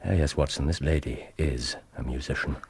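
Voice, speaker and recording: A brisk, aloof detective. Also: male voice, extremely low pitch, breathy edge, heavily acted. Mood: deducing. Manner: clipped, brusque, deductive, dry. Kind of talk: radio drama acting.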